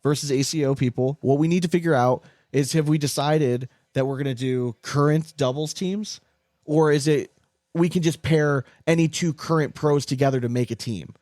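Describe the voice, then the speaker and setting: A man's voice: on the high side, normal speed, a little mellow, no echo, clean studio sound. A man co-hosting a sports podcast in casual banter, with a blunt, slangy manner. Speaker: podcast co-host.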